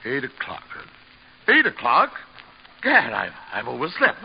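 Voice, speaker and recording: Male pompous stage star, theatrical and affronted, very animated delivery, dull vintage radio sound. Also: mid-range voice, unhurried, creaky, husky, full character voice. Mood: bewildered, grumpy. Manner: theatrical, indignant. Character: pompous, grandiose, easily affronted stage actor. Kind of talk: 1950s radio comedy acting. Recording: dull, noisy old-time radio recording.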